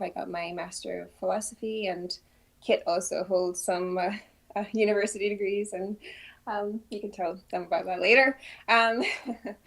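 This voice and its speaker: A woman in her thirties, a ballet dancer from Trinidad, answering interview questions casually with many pauses and ums. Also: on the low side, poor audio. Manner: soft-spoken, many ums, thoughtful. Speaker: guest, principal ballet dancer.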